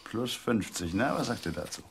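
An impatient, commanding card player in a radio drama. The voice is fairly low and moves a lot in pitch. Faint noise sits behind it. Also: male voice, expressive, moderate pace, creaky.

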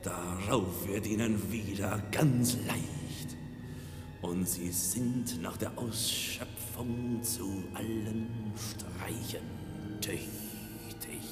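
In a reverberant hall with music, an eerie, sententious radio-play commentator. His low voice is drawn out and halting, often sinking to whispers. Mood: sly, solemn. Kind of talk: stylized radio play recitation.

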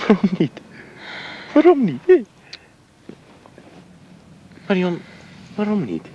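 A man playing a tender young painter in love, speaking softly and often in a whisper in a radio drama. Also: high voice, huge pitch swings, slow, highs cut off, slight background noise, full character voice. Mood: pleading. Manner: soft, intimate, often whispering. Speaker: suitor Jaap.

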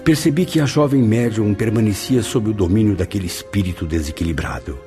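An actor in a radio drama playing a reflective spirit who narrates his memories in a measured way. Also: on the low side, warm tone, faint music behind, close to an everyday voice.

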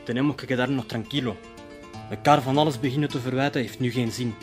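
An actor playing a calm, reasonable male conspirator in a radio drama, placating and measured. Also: medium pitch, over music, lightly acted. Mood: firm, calming. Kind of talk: radio drama acting, switching between Spanish and Dutch.

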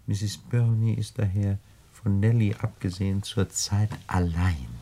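An actor playing a suave, condescending gentleman crook in a radio play, with florid, over-polite, pedantic phrasing. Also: lowish voice, steady tone, measured pace. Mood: scheming, silky. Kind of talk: 1960 German radio play acting.

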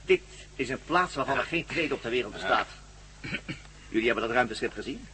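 A man's voice: medium pitch, animated, fairly quick, background noise, lightly acted. An actor playing a commanding villain captain in a radio drama, mocking and sardonic, gloating over his plans to rule the world. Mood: smug gloating. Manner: mocking, sardonic, barks orders.

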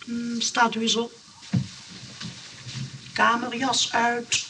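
A woman's voice: a little high, completely flat delivery, extremely slow, bright, forceful tone, full character voice. An actress in a comic radio play playing a wealthy, affected old lady of seventy-eight, forgetful and breezy, grandly giving instructions between little hums. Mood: boastful, airy. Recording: faintly noisy radio play recording, distant mic.

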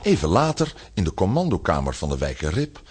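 A man's voice: low voice, wildly swooping pitch, fairly quick, darkish tone, a little hiss or hum. A man narrating a scripted children's audio story as a storyteller.

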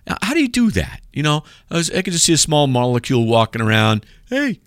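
A man with a deep voice hosting a call-in radio show, joking and sarcastic as he reads out mail. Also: big pitch swings, quick pace, no room sound, studio quality.

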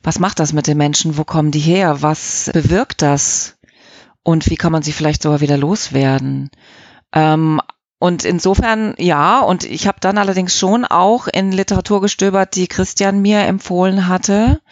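A woman's voice: fairly low pitch, moderate pace, no room sound, lo-fi sound. A middle-aged woman giving earnest, thoughtful answers as an interview guest.

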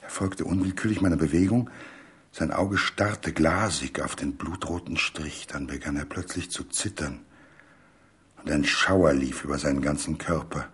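A man narrating a literary story for radio in a deliberate, suspenseful, dramatic way. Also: a bass voice, fairly bright tone, breathy edge.

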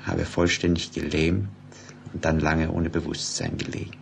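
An actor in a German radio play, playing a grave, measured man who soberly reports on a mysterious death. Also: rumbling low, completely flat delivery, vocal fry. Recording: noisy old radio play recording.

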